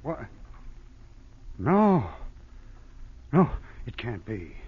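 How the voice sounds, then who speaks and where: A man's voice: medium pitch, sing-song, extremely slow, breathy edge, exaggerated voice. An actor playing a nervous, self-serving male accomplice in a 1940s radio thriller, curt and agitated. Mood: alarmed, defensive. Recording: dull old 1940s radio recording.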